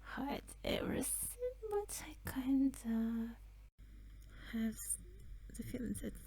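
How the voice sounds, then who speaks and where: A woman giving casual gameplay commentary, with a hesitant manner that turns soft in places. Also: on the high side, wildly swooping pitch, slow, creaky, slightly rough. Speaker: gameplay video creator. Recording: game music behind voice. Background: game music.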